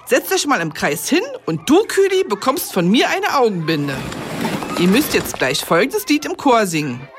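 A woman's voice: a little high, sing-song, normal speed, background noise. A woman playing a friendly kindergarten teacher in a kids' radio comedy, patiently explaining games.

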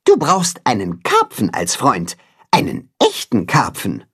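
A man's voice: mid-range voice, wildly swooping pitch, measured pace, a bit bright, husky, full character voice. A man voicing a cheerful, helpful little male cat-chicken creature in a children's audiobook. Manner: eifrig, freundlich. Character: fröhliches kleines männliches Katzenhuhn, hilfsbereit.

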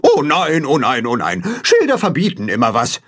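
Man as a husky, wailing, gloomy goose. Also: fairly high pitch, very animated, takes it slowly, fairly bright tone, pitched above the performer's own voice, exaggerated voice. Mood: despairing. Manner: wailing, repetitive, despairing. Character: gloomy, fretful, fearful goose forever lamenting.

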